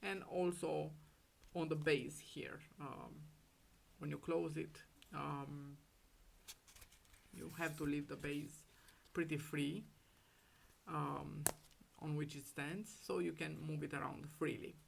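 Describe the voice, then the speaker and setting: A woman with a cold and a raspy, hoarse voice, showing off a handmade photo album in casual, halting talk full of ums. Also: deep voice, fairly even intonation, slight background noise. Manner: hesitant, many ums, fond and gushing. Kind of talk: casual craft show-and-tell.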